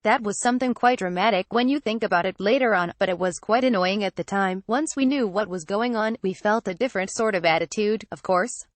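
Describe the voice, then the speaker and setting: A woman's voice: mid-range voice, brisk, hardly any pauses, dry recording. A woman narrating a written article in a scripted read-aloud style, going straight through the text. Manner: reads captions, credits and quotes straight through.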